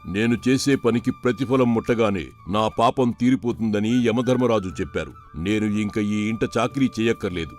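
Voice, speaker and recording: A man's voice: on the low side, normal speed, hardly any pauses, a little mellow, soft background music, natural voice. A man playing a weary, repentant langur-monkey ghost in a children's moral story, sighing and plaintive yet grateful. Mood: relieved, grateful.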